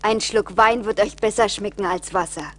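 An actress playing a kind, compassionate young wife in a 1980s radio play, with a caring manner. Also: female voice, mid-range voice, fairly even intonation, moderate pace, a bit bright, lightly acted.

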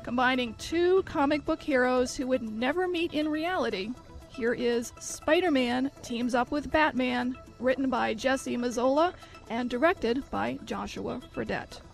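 A woman's voice: sits high, a little slow, vocal fry, faint music behind. A woman reading scripted credits and introductions as a radio announcer.

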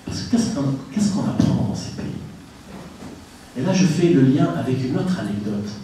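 A man's voice: mid-range voice, animated, normal speed, mellow, slight background noise. A middle-aged man from Tunisia giving an emphatic conference talk into a handheld mic, asking the audience rhetorical questions. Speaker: conference speaker. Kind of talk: public lecture with anecdotes. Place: conference room, indoors. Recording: echoey room, from far off.

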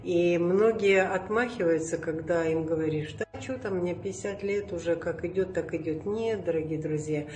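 A woman's voice: fairly low pitch, normal speed, few pauses, a little mellow. An older woman giving a sincere video testimonial, speaking directly to viewers to encourage them. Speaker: program participant. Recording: echoey room with faint noise.

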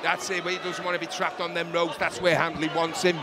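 A man's voice: on the high side, flat delivery, fast talker. A man giving conversational live boxing commentary, often repeating his phrases. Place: ringside in a large indoor arena. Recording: loud crowd and arena noise. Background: noisy fight crowd.

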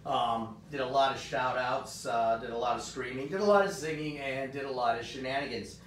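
Hoarse man, roomy and off-mic. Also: brisk, fairly bright tone, distant mic. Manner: upbeat, rambling, many fillers. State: hoarse, voice partly gone. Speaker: host of a live comic shop stream. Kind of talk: casual live-stream chat. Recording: roomy, off-mic, faint rumble.

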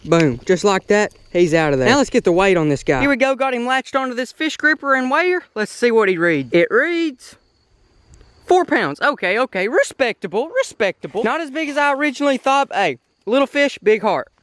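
A young man giving excitable, folksy commentary while fishing outdoors at a pond, talking into an action camera. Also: extremely high pitch, a little fast, clean tone, dry recording. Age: young adult. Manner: excitable, folksy, calls viewers boys and son. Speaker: fishing vlogger. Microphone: action camera on body or handheld.

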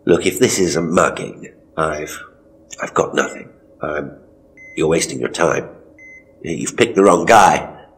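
A man playing a hapless, theatrical ghost tour guide in a horror audio drama, British, uneasy and hesitant, with dramatic pauses. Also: extremely low pitch, vocal fry, breathy edge, full character voice. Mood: defensive. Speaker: horror storyteller and voice actor. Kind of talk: dramatic first-person audio drama monologue.

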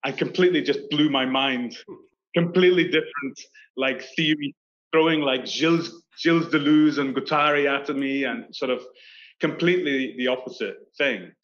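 A Scottish man in his thirties talks through his career in a loose, animated way, circling back and restarting his sentences. His voice sits at a middling pitch. The video call audio comes through dull and lo-fi. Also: normal speed. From Scotland. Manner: animated, gestures with hands, many restarts. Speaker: architect guest. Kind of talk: casual interview chat. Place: indoor room on video call. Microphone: video call webcam.

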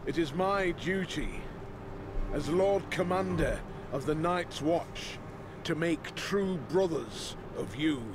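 A stern older commander speaks in grave, oratorical style in a fantasy game. His delivery is slow and deliberate, with clear ambient noise behind him. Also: fairly high pitch. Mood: solemn, stern. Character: stern old lord commander addressing his sworn brothers. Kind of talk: solemn scripted speech in a fantasy game.

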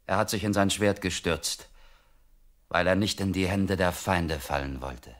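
An actor playing a loyal soldier messenger in a Bible radio play, speaking in an earnest, declamatory way. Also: male voice, low-pitched, a little slow. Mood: grave, urgent.

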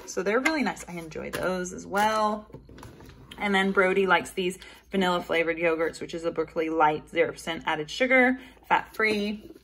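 A woman's voice: fairly low pitch, moderate pace, fairly bright tone, slight background noise. A woman in her thirties chatting casually through a grocery haul while handling items in her kitchen. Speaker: vlogger. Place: home kitchen.

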